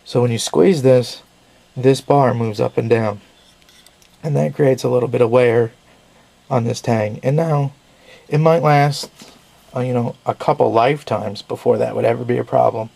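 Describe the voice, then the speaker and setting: A man's voice: medium pitch, moderate pace, creaky, dark tone. A man, a little sick and losing his voice, giving a rambling casual knife review with frequent self-corrections.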